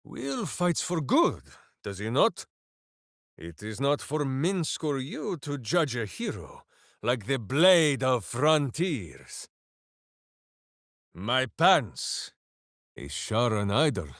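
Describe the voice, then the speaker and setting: An actor playing a big-hearted, boisterous, simple-minded heroic ranger in a video game, declaiming theatrically. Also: male voice, medium pitch, very animated, slow pace, fairly bright tone, no echo, clean studio sound, heavily acted. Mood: earnest, pondering. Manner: theatrical, declaiming, speaks of himself in third person. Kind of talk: video game character voice lines.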